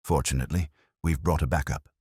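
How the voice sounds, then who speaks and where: A man's voice: a bass voice, fairly even intonation, fast, a little mellow, breathy edge, no echo, studio quality. A man playing an unassuming, patient police inspector in a mystery story, polite and understated. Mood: quietly assured.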